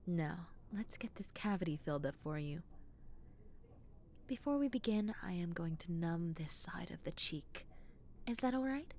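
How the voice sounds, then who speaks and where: Woman doing a kind dentist, soothing, whispery, teasing, low voice, lilting, slow. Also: animated, slight background noise. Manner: soft, gentle and reassuring, slipping into whispers; playful, with frequent soft giggles. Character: kind, caring adult female dentist with a teasing side. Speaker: voice actress in audio roleplay. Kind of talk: ASMR roleplay.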